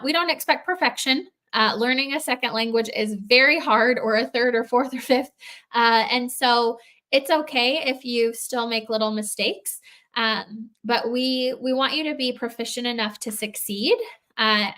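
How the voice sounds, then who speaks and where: Brief: woman, fairly high voice, guest on a livestream Q&A, giving conversational explanations. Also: bright, forceful tone. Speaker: guest answering questions on a livestream.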